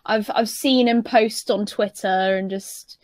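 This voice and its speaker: A woman's voice: mid-range voice, steady tone, a little slow, no room sound. A woman chatting casually as a panelist on a group podcast.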